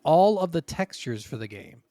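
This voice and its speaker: A man co-hosting a casual gaming podcast, telling stories from his notes in an animated, chuckling way. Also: on the high side, normal speed. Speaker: podcast co-host.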